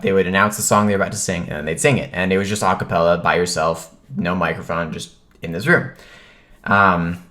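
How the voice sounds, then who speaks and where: A man with a low-pitched voice tells a personal story in a casual vlog style. He speaks informally, as if to viewers, and retells what people said in an animated way. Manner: animated retelling, acts out quoted remarks. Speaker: vlogger telling a personal story.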